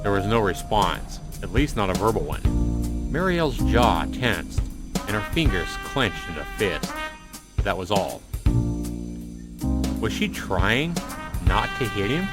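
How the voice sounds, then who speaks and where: A man's voice: lowish voice, big pitch swings, unhurried, pauses often. A man narrating a story aloud in a dramatic storytelling style, as a host reading to viewers. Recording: music behind the voice. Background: music.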